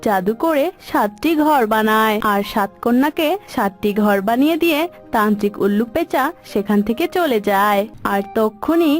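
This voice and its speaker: A woman's voice: on the high side, animated, normal speed, soft background music. A woman reading scripted narration for a children's cartoon story.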